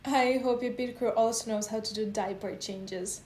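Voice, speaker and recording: A woman's voice: on the high side, steady tone, moderate pace, darkish tone, natural voice. A woman playing a feisty, taunting old race driver in a comedic radio play, with a folksy way of talking. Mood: mocking. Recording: echoey, noisy amateur recording.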